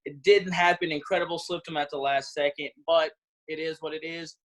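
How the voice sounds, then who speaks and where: A man with a fairly high voice delivers sports commentary. He speaks as a studio analyst breaking down matchups on a mock selection show. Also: fairly even intonation, fairly quick, fairly bright tone, low-quality recording.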